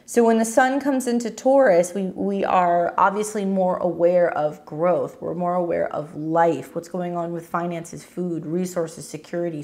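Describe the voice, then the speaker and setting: Woman with a cold-roughened voice. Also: lowish voice, a little mellow. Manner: rambling, lots of fillers and restarts. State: hoarse from a cold. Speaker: astrology channel host. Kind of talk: casual weekly forecast talk.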